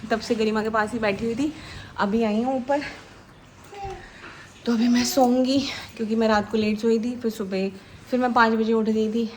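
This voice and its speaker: A woman in her thirties with a hoarse throat, chatting casually to her viewers in a family vlog. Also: a little high, fairly even intonation, normal speed, a little hiss or hum. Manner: chatty, talks to viewers directly. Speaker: vlogger.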